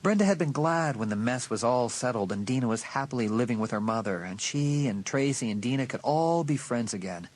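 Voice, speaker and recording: A man's voice: medium pitch, lively intonation, brisk, few pauses, a little mellow. A man giving a dramatic, scripted narration of an audiobook story.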